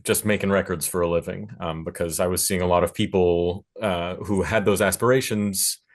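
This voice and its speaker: Male panelist, low-pitched, reflective and halting, talking through his thoughts as a music producer. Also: fairly even intonation. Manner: halting, thinking aloud, hedging with "sort of". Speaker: panelist, record producer and recording engineer. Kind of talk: panel discussion talk, reflective.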